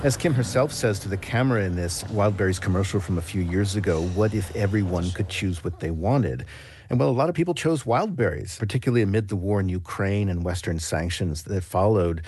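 A man's voice: low voice, expressive, a little fast, darkish tone, breathy edge. A man with an American accent giving an explanatory radio news report as a foreign correspondent, in a conversational way.

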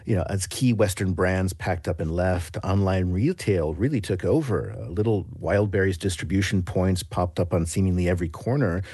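A man's voice: low voice, normal speed, few pauses, warm tone, husky, no room sound, clean studio sound. A man with an American accent giving an explanatory radio news report as a foreign correspondent, in a conversational way.

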